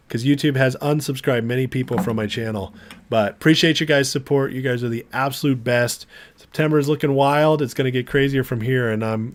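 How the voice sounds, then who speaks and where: An American man hosting a casual livestream, chatting informally and chummily with viewers and reading their comments, his voice starting to give out as he recovers from illness. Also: mid-range voice. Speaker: livestream host.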